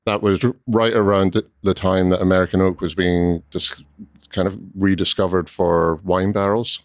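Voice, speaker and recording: A man's voice: sits low, little pitch movement, normal speed, no echo. A middle-aged man from Scotland with a Scottish accent, a guest in a casual podcast interview, telling his story with dry, self-deprecating humor. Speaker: guest, cooperage co-owner.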